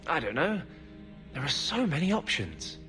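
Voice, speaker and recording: An actor playing a sardonic, teasing adventurer in a video game, full of dry sarcasm. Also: male voice, fairly high pitch, sing-song, moderate pace, bright, forceful tone, faint music behind. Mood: sarcastic. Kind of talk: video game dramatic acting.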